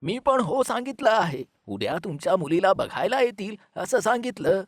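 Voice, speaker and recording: A woman puts on a village matchmaker for a cartoon tale. The pitch is medium but swings in a lively way, and the sound is very dry. The manner is obliging and businesslike. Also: normal speed, no room sound. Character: obliging village marriage broker. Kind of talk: animated moral story dialogue.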